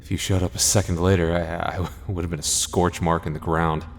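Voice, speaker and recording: Low-voiced, flustered knight, acted by a man. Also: level tone, brisk, gravelly, slight background noise. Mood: flustered, eager. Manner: stammering, self-deprecating, nervous. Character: flustered, soft-hearted, timid young male knight, smitten. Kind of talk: ASMR fantasy roleplay.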